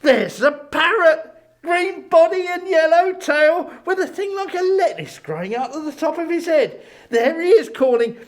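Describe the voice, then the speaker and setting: High, swooping, emotional old miser. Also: male voice, lively intonation, unhurried. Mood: ecstatic wonder. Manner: theatrical, exclamatory, emotional. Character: old miser Scrooge, softening into childlike, tearful sentiment. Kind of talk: dramatic audiobook reading.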